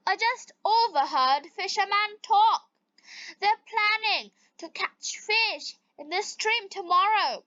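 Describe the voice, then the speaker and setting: A woman's voice: very high voice, bright, forceful tone, no room sound, muffled recording, voice pushed up, exaggerated voice. A woman voicing a worried blue fish in a children's storybook, urgently and earnestly warning friends.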